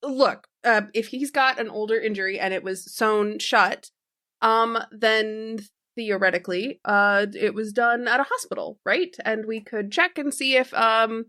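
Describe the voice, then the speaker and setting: A woman playing a tabletop game, casually thinking aloud with halting, repeated words. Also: on the high side, sharp tone.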